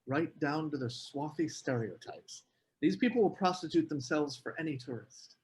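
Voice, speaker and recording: Man playing a sardonic academic, slightly high voice. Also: lively intonation, normal speed, a little mellow, close to an everyday voice. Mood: scornful. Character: prickly, snobbish American academic, sardonic and awkward. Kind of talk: screenplay table read.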